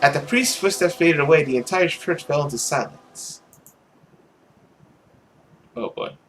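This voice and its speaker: Slightly higher-pitched man doing a let's play. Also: measured pace, a bit bright, slight background noise. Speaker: gaming video host. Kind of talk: visual novel let's play, casual commentary and dramatic narration.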